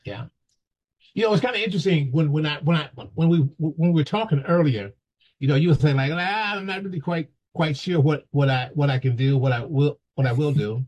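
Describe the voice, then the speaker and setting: A man hosting a casual interview show, talking haltingly with many fillers and restarts. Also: fairly high pitch, darkish tone, breathy edge, poor audio. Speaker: host.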